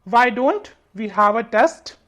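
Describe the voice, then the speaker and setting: Woman as a proud, boastful wind, slow-spoken. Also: fairly high pitch, lively intonation, fairly bright tone, voice pushed down. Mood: challenging. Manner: boastful, challenging. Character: proud, boastful male wind, eager to show off.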